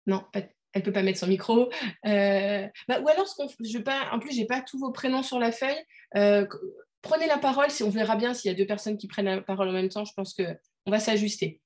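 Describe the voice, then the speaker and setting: A woman runs an online workshop over a video call. She explains in a loose, conversational way, pausing often with fillers and restarting her sentences. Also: mid-range voice, darkish tone. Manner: hesitant, many fillers, conversational. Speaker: workshop leader.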